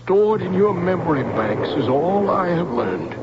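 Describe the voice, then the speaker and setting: Slow, creaky fatherly scientist. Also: sits high, sing-song, full character voice. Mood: solemn, visionary. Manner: solemn, halting. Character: weary, dying scientist, fatherly creator of robots, solemn. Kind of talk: old-time radio drama acting. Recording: dull, noisy old radio recording.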